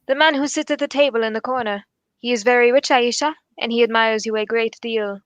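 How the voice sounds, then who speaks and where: A woman playing a calm, courteous male detective in a formal, measured radio drama style. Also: on the high side, smooth, no room sound, muffled recording, close to an everyday voice. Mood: discreet, obliging. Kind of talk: 1940s radio drama acting.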